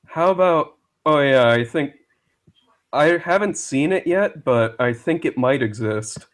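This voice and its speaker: A man chatting casually as a guest on a live stream, speaking in a hesitant, halting way. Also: fairly high pitch, expressive. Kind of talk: casual chat.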